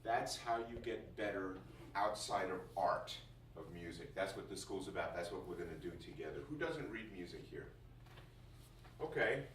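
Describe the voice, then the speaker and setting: A man's voice: low voice, expressive, moderate pace, a bit bright. Man teaching in a roomy classroom, picked up distantly. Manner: jokey, laughs often, repeats phrases for emphasis. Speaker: music school teacher addressing new students. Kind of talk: informal first-day classroom talk. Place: indoor classroom. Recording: roomy, distant mic, faint noise.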